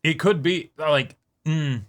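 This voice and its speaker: A man's voice: a little high, slow pace. A man co-hosting a reaction show, explaining things casually in a tentative, agreeable manner. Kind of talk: casual anime discussion.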